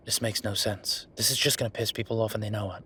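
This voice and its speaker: A man playing a weary, wry younger werewolf boyfriend working late, speaking haltingly in an intimate roleplay audio drama. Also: fairly even intonation, sharp tone, slight background noise. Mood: tired, frustrated. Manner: low-key, sardonic, halting, sighing.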